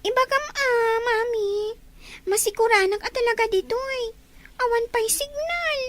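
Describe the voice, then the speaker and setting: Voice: extremely high pitch, a little slow, a bit bright. A performer playing a bored, complaining child in an Ilocano audio drama, whiny and pleading, on a noisy recording. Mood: restless, whiny.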